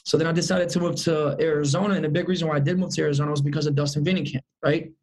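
Upbeat man in his thirties, slightly high. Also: fairly even intonation, racing, a little mellow. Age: 30s. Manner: upbeat, emphatic, repeats phrases for emphasis. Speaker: sales manager guest. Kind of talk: casual interview chat.

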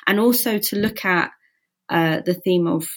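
Woman novelist guest, native Gujarati speaker raised in Britain; lowish, reflective, with frequent self-corrections. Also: unhurried. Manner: thoughtful, with frequent restarts and "you know". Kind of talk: conversational interview.

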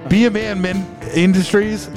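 Higher-voiced man, profane podcast co-host. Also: little pitch movement, normal speed, over music. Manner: profane, joking. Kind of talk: casual chat.

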